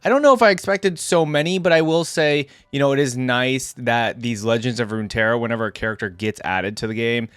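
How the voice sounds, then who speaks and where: A man's voice: mid-range voice, a little fast, few pauses, a bit bright, clear, resonant tone, dry recording, clean studio sound. Casual, musing man giving reaction commentary. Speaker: reaction video host.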